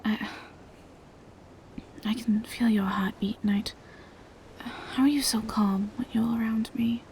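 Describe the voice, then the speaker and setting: A woman voicing a gentle, bashful female vampire in an intimate roleplay audio, speaking in soft, hesitant half-whispers. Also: a little high, fairly even intonation, slow, a little hiss or hum. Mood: flustered, shy. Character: gentle, bashful female vampire in monstrous true form, lovestruck.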